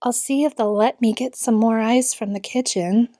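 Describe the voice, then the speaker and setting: A woman's voice: on the high side, fairly even intonation, moderate pace, mellow, dry recording, studio quality, close to an everyday voice. An actress playing an anxious, caring young woman held captive, earnest and emotional, in a radio-style audio drama. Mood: worried, caring.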